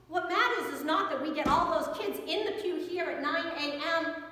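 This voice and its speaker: A woman with an American accent and a high-pitched voice delivers a sermon. She talks to listeners conversationally, leaning on questions and emphatic pauses. The sound is heavily echoing, with the long reverb of a church interior. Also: a bit bright. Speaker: Episcopal priest preaching.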